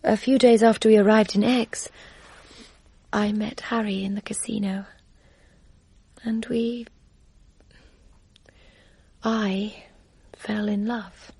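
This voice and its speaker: An actress playing a gentle, anxious young Englishwoman recounting her ordeal in a radio drama, in a soft, breathy voice. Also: medium pitch, fairly even intonation, slow pace, pauses often, no echo, heavily acted. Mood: sad, hushed. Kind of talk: radio drama acting and narration.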